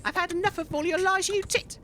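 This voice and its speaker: Man as an indignant, high-pitched British DJ. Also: fairly even intonation, a little fast, bright, forceful tone, a little hiss or hum. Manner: theatrical, bickering rhymed delivery. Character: indignant, self-righteous male radio DJ on the run. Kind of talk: comic musical audio drama acting.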